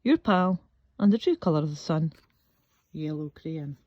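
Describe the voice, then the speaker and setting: A woman voicing a boastful, insistent yellow crayon in a storybook, loud and self-important. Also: low voice, animated, unhurried, pitched below the performer's own voice. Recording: dull, echoey room recording.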